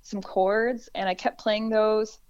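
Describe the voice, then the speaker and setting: A woman singer-songwriter with a low voice, talking through how she wrote a song in a relaxed, reflective way with frequent restarts. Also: takes it slowly, clean tone, muffled recording. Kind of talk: conversational interview.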